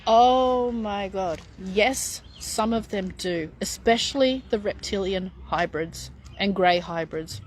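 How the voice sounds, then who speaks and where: A woman's voice: mid-range voice, slow pace, few pauses, slight background noise. A middle-aged woman chatting frankly as she answers viewer questions, with lots of fillers and restarts. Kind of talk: casual live Q&A.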